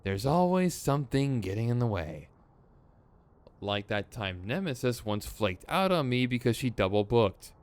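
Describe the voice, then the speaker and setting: A theatrical male supervillain, acted by a man in an ASMR roleplay, with a mid-pitched voice that swoops widely. He is smug and exasperated, slipping between mock menace and sardonic grumbling. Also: big pitch swings, a little slow, clear, resonant tone. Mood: sardonic, rambling. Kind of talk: scripted ASMR roleplay acting.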